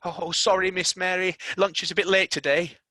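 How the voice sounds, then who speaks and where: A storyteller voicing a flustered, kindly young housemaid who talks in a fretful, hurried way. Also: mid-range voice, little pitch movement, sharp tone, no echo, pitched above the performer's own voice, lightly acted.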